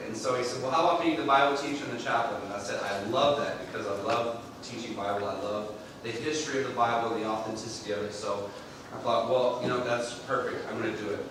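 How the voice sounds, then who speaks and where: A man teacher with a loud, boisterous voice, telling a story to an audience at a school ceremony. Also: mid-range voice. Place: church hall. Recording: echoey hall, far from the mic, noise.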